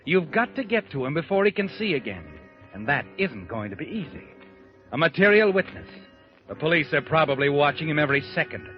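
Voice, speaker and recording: Man doing the Whistler, a mocking narrator voice in old radio, slightly high, lively delivery. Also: close to an everyday voice. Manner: taunting, addresses the listener as "you". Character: the Whistler, sinister all-knowing male narrator. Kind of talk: 1940s radio drama narration. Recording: dull old radio broadcast with music.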